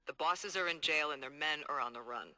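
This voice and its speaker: An actor playing a determined, hard-boiled police detective dictating earnest, streetwise case logs in a video game. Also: male voice, a little high, a little fast, sharp tone, faint music behind. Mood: wary, troubled. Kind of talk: video game audio log monologue.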